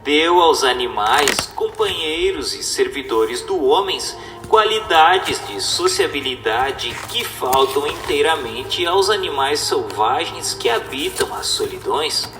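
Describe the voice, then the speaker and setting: A man giving a scripted, solemn reading in a formal, didactic and deliberate manner, as a narrator. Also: on the high side, lively intonation, a bit bright. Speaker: narrator reading a spiritist text. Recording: echoey reverberant voice with music. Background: music.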